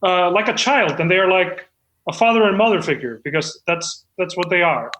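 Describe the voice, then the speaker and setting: A man's voice: high-pitched, normal speed, fairly bright tone, roomy sound. A man with an earnest, flustered, hesitant manner, narrating stage directions and chatting comically at a script read. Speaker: screenwriter reading out his script at a comedy table read.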